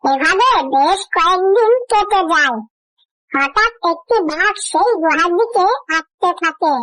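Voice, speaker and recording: A woman's voice: very high voice, animated, measured pace, fairly bright tone, no room sound, low-quality recording. A woman reading a scripted fairy tale for children as the storyteller.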